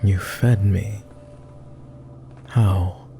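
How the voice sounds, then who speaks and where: A man playing a weary, courtly vampire lord in an ASMR roleplay, murmuring formally and hesitantly with many pauses, close to the microphone. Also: sits low, steady tone, warm tone, slight background noise, heavily acted. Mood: puzzled.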